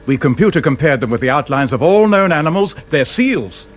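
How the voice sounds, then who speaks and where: An actor as a wry, theatrical British investigator, higher-pitched. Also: male voice, animated, brisk, highs cut off, soft background music, natural voice. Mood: wry, instructive. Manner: pedantic, theatrical, teasing. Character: wry male investigator, dryly sarcastic, enjoys explaining. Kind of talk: British radio drama acting.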